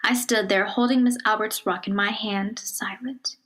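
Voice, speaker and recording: A woman reads a children's picture book aloud in a measured, expressive storytelling manner, dropping to a hush on some phrases. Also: mid-range voice. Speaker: storybook reader.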